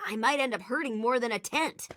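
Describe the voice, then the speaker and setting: A woman's voice: on the high side, fairly quick, a bit bright, slight background noise, natural voice. A woman voicing a cocky, playful young boy hero in a cartoon game, with flippant delivery. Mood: pleased. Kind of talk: animated cartoon game acting.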